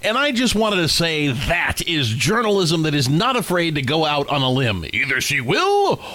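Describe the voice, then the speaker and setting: A man co-hosting a casual political banter podcast, sarcastic with a deadpan, mock-newsy delivery. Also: fairly high pitch, normal speed. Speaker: co-host.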